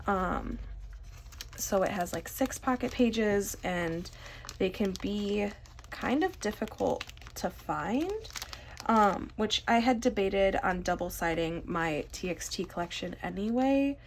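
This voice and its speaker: A young woman with a stuffy, congested voice talks through a casual vlog, rambling and poking fun at her own lack of organization. Her pitch sits in an ordinary middle range. A faint hiss of noise sits under the recording. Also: expressive, takes it slowly. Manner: rambling, self-deprecating, chatty. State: stuffy nose. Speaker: vlogger. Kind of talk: casual chat while sorting cards.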